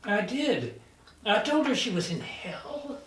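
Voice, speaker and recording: A woman's voice: mid-range voice, sing-song, slow pace. A woman playing a shy, dim, hesitant man in his sixties at a dramatic table read. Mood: defensive. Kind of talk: dramatic script reading. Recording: roomy, distant mic.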